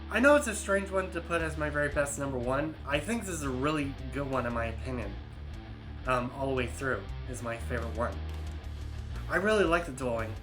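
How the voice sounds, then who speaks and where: A man's voice: medium pitch, expressive, fairly quick, over music, poor audio. A man in his early thirties, hosting a casual album ranking, rambling and hesitant with many fillers and restarts. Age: 30s. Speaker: YouTube host.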